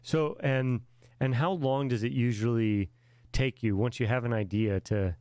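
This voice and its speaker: A man's voice: medium pitch, steady tone, unhurried, darkish tone, dry recording. Man asking encouraging interview questions. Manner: encouraging, asks questions with restarts.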